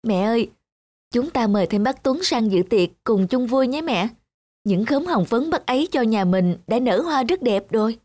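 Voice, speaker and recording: A woman's voice: on the high side, expressive, moderate pace, a little mellow, no echo. A woman voicing a caring adult daughter in a cartoon, earnest and emotional in the way she talks. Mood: coaxing.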